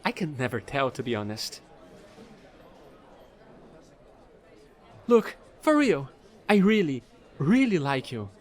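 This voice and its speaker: A man's voice: mid-range voice, wildly swooping pitch, moderate pace. A man playing an offbeat, chatty fallen angel space trader in an audio roleplay, rambling playfully to the listener in casual slang. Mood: flustered, sincere.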